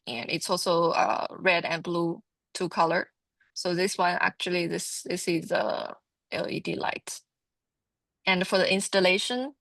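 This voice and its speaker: A woman giving an informal product training presentation, explaining haltingly and often repeating her words. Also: lowish voice, gravelly, a bit bright, no room sound.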